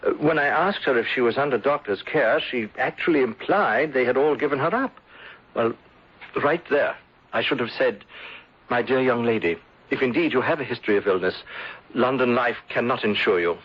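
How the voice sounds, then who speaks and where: A husky, mid-pitched voice of a lovestruck man of thirty bent on revenge acted by a man. He is earnest, brooding and intense, in radio melodrama style. The recording is dull and lo-fi. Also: moderate pace, lightly acted. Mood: anguished recollection. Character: lovestruck, vengeful thirty-year-old English insurance man. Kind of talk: melodramatic radio drama acting.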